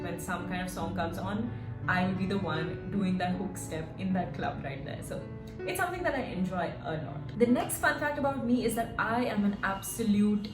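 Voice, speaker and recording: Chatty young woman in an echoey room. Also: medium pitch. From Singapore. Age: 20s. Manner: chatty, animated storytelling with frequent "like" and "right?". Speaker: fitness coach. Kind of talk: casual vlog chat. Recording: echoey room with music behind.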